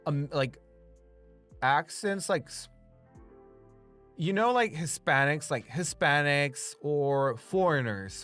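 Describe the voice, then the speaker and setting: A man's voice: mid-range voice, a bit bright. Rambling man, slight Romanian accent. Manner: rambling, heavy filler "like", swears freely. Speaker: streamer and voice actor. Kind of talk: casual chat with stream viewers.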